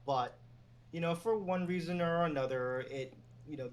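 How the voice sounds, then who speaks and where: A man from New York City, a former team general manager and agent, talking as a guest in a relaxed, meandering way with the odd chuckle. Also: a little high, moderate pace, slight background noise. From New York City, USA. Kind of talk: casual interview conversation.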